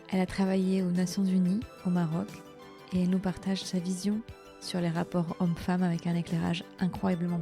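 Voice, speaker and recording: A woman hosting a podcast, moving between a scripted introduction and casual interview talk. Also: lowish voice.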